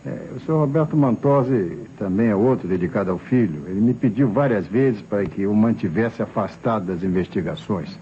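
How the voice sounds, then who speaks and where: An actor playing an earnest, deferential Brazilian police assistant thinking aloud in an old radio crime drama. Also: male voice, lowish voice, fairly quick, hardly any pauses, dark tone, lightly acted. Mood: earnest, reporting. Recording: dull old radio recording, faint noise.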